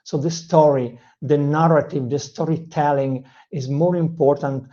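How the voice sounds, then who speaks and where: Italian-accented channel host teaching English; earnest, hesitant delivery, warm low timbre, muffled recording. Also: fairly even intonation, measured pace. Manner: earnest, with frequent "uh" hesitations and self-corrections. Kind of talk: motivational teaching talk.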